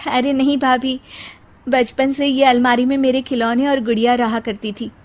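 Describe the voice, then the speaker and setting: A woman voicing a gentle, wistful married woman visiting her childhood home in a cartoon story. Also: high-pitched, flat delivery, normal speed, soft background music, pitched above the performer's own voice, close to an everyday voice. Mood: timid, wistful.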